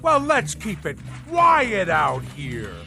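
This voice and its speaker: Pompous cranky boss who talks like a sea captain, radio drama, slow n swooping, music under it. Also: male voice, sits high, huge pitch swings, pushed and bright, heavily acted. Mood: blustering, irate. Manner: pompous, blustering, nautical turns of phrase. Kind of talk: theatrical radio drama acting.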